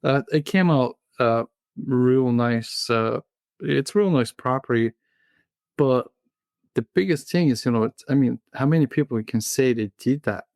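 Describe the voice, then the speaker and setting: A man in his thirties from Bulgaria with a Bulgarian accent, a guest in a casual interview who talks in a halting way, full of fillers and restarts. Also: medium pitch, darkish tone, no room sound, studio quality. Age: 30s.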